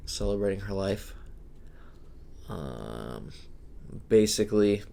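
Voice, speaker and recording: A young man, a podcast co-host, talking in a slow, halting and reflective way. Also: fairly low pitch, very animated, creaky, dark tone, slight background noise. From Hawaii. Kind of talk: casual podcast chat.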